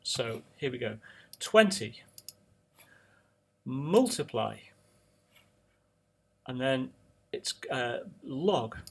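A man teaching audio engineering, talking through each calculator step in a relaxed screen-recorded tutorial. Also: medium pitch, extremely slow, creaky, long pauses, husky.

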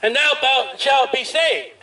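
High-voiced street preacher guy, amped up outdoors, heard from kinda far off. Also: steady tone. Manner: loud, emphatic, drawn-out hammering phrases. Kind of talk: amplified open-air preaching. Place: outdoors at a busy street festival. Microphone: amplified through a portable speaker. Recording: distant outdoor recording with ambient noise.

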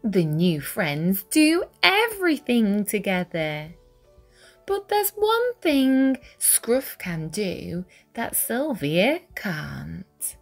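A woman reading a children's picture book aloud with deliberate, dramatic phrasing for young listeners. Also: medium pitch, big pitch swings. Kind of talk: expressive read-aloud storytelling. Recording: music behind the voice. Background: music.

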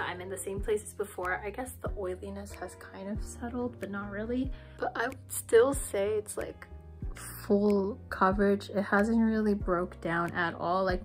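A woman's voice: mid-range voice, darkish tone. Candid young woman vlogging, swearing. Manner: candid, swears freely, drops to whispers. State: losing her voice. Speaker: beauty vlogger. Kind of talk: casual vlog chat.

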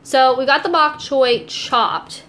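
Higher-voiced American woman, noisy kitchen stream. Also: takes it slowly, pushed and bright. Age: young adult. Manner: chatty, giggly, informal. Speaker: host of a cooking live stream. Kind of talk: casual chatty live stream, reading comments aloud. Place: indoors in a home kitchen. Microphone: phone or tablet, a short distance away.

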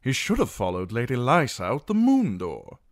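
A man voicing a haughty, vengeful knight in a fantasy audiobook, declaiming loudly with drawn-out emphasis. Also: medium pitch, wildly swooping pitch, pitched above the performer's own voice. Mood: indignant.

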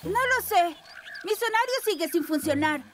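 A woman's voice: very high voice, animated, moderate pace, background noise. A woman voicing an earnest, persistent young furry tinkerer in a cartoon. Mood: matter-of-fact. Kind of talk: cartoon dubbing. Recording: music behind the voice.

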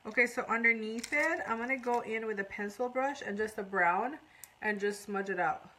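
A woman's voice: on the high side, moderate pace, sharp tone, a little hiss or hum, rough recording. A woman in her thirties from Iran, chatting casually on a live makeup tutorial and reading out viewer comments while she works. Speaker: makeup artist. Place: small indoor room before a curtain.

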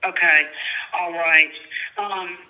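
A woman's voice: on the low side, steady tone, takes it slowly, pushed and bright. A middle-aged woman with a Southern US accent, talking politely and casually over a speakerphone call. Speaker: prank call recipient. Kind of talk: polite casual phone conversation. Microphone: telephone heard through speakerphone. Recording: tinny phone call on speaker.

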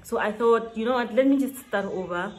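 A woman's voice: fairly high pitch, a little fast. A woman in her late twenties chatting casually to camera in a get-to-know-me vlog, laughing often and stretching words for emphasis. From South Africa. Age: 20s. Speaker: YouTuber. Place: small indoor room with shelves.

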